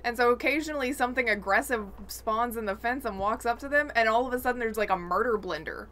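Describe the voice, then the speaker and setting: A woman's voice: on the high side, fast, a bit bright. A woman co-hosting a podcast, chatting casually about games. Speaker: podcast co-host. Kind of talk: casual gaming chat.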